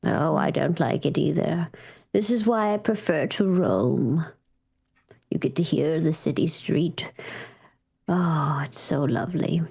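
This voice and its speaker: Casting: woman playing sly, whimsical vampire lady for tabletop horror, languid and creaky, low-pitched, theatrically cooing. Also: measured pace, a little mellow, full character voice. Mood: dreamy, cooing. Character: whimsical, sly, shameless female vampire, chaotic whisperer.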